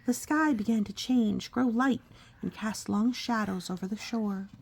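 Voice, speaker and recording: A woman's voice: fairly high pitch, unhurried, vocal fry, a little mellow, breathy edge. A woman, a mother, reading a picture book aloud and chatting with her small child about it. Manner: asks and echoes a small child's questions. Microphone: phone recording.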